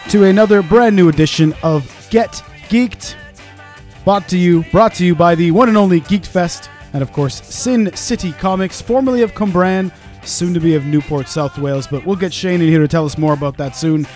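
A man's voice: high voice, normal speed, darkish tone, faint music behind. A man hosting a casual podcast interview in a studio, chatty and playful. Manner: chatty, playful promo delivery. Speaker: podcast host.

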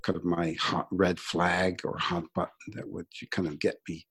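A mature American man speaks in a low register with plenty of fry and a husky rasp. He answers as an interview guest, recounting things conversationally and reflectively, often pausing to search for the next phrase. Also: very animated, a bit bright. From Brooklyn, New York, United States. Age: middle-aged or older. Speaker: interview guest, sustainability entrepreneur.

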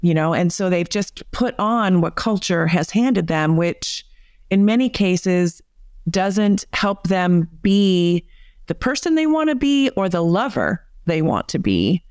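A woman's voice: fairly low pitch, animated, slow pace, a little mellow, clear, resonant tone, dry recording, clean studio sound. A woman, a psychotherapist guest, explaining her ideas in a conversational interview with many fillers. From United States.

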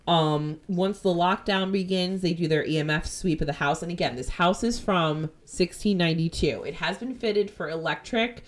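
A woman with a New Jersey accent, hosting a podcast and retelling a ghost-hunting episode in animated, profane casual chat. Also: lowish voice, moderate pace, hardly any pauses. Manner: animated, profane, jumps into quoted voices. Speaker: podcast host.

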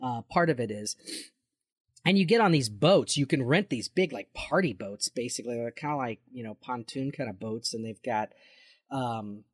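An American man in his forties chatting casually as a podcast co-host, in an excitable, jokey, sweary way. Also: mid-range voice, moderate pace, no room sound, studio quality. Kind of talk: casual chat.

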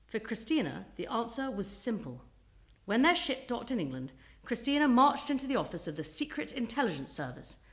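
A woman narrating a scripted historical story as a storyteller, with a dramatic, suspenseful delivery. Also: mid-range voice.

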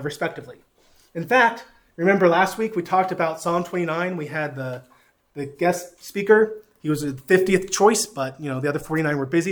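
A Southern man preaching from the Bible and pointing at a map as he teaches. His voice sits high for a man. He is folksy and pokes fun at himself, and he leaves plenty of uh's along the way. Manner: folksy, self-deprecating, with frequent uh fillers. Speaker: pastor.

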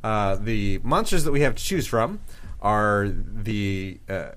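A man's voice: on the low side, slow, fairly bright tone. A man co-hosting a podcast in casual chat, easygoing as he explains the rules of a board game. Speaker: podcast co-host.

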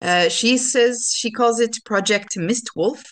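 A woman playing an earnest, careful informant in improvised tabletop role-play, speaking haltingly. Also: fairly high pitch. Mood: earnest, hesitant. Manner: hesitant, halting.

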